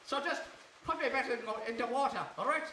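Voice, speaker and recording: A man's voice: very high-pitched, brisk, bright, forceful tone. A man voicing an evasive, pleading talking fish with a put-on Irish accent in a comic audio story, distant and echoey. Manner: pleading, defensive, matey. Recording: echoey, distant, faint noise.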